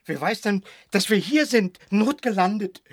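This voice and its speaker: A man's voice: high voice, lively intonation, unhurried, dry recording, clean studio sound. An actor playing an anxious, stranded husband and father in a science-fiction radio drama, fretful and urgent. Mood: urgent, alarmed.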